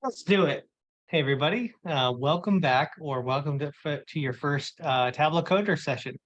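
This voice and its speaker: A man's voice: on the high side, normal speed, fairly bright tone. A man, co-hosting a user group session on a video call, chatty and teasing with many fillers. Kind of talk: casual video call presentation. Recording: muffled lo-fi call audio.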